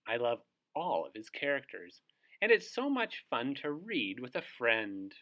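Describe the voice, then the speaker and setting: A man's voice: medium pitch, wildly swooping pitch, measured pace, pushed and bright. A man reading a story aloud for young children as a storybook host. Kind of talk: animated children's read-aloud.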